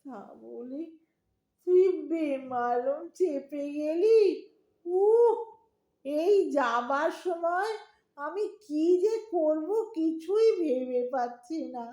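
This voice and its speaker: A woman's voice: high voice, lively intonation, drawn-out words, mellow, reverberant, exaggerated voice. A woman playing a lonely, sentimental middle-aged Bengali mother in an audio drama, with a pleading, emotional delivery and a Bengali accent. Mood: exasperated, overwhelmed.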